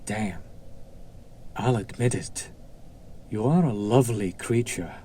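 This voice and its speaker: A lowish male demon's voice, acted by a man, drawling slowly over noticeable background ambience. He is smug and seductive, coaxing with silky condescension and menace, as in an intimate roleplay audio drama. His deliberate pauses let each taunt hang. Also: warm tone, a little hiss or hum. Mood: teasing, coaxing.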